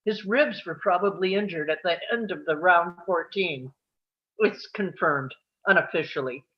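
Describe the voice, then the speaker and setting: A man's voice: sits high, normal speed, muffled recording, close to an everyday voice. A man playing a tense ringside boxing commentator in a film script table read. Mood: hushed tension.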